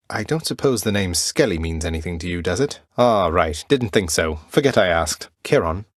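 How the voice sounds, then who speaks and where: A young prince of the underworld from a video game, speaks in a low, closely recorded voice. He is courteous and wry, with cheeky understatement and steady determination. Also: no echo. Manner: courteous, dry, understated wit. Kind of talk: video game character voice lines.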